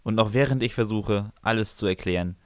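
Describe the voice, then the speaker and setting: A man's voice: on the low side, brisk, dry recording. A man reading scripted first-person comic narration for a fan fiction audio story.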